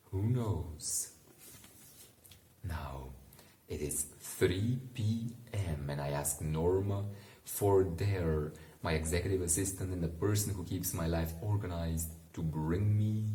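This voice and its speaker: Male bedtime reader, very low and unhurried, informal and musing, recorded in a roomy, echoing space. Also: dark tone, slight background noise. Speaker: bedtime story reader and commentator. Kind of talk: relaxed read-aloud with casual asides to help listeners sleep.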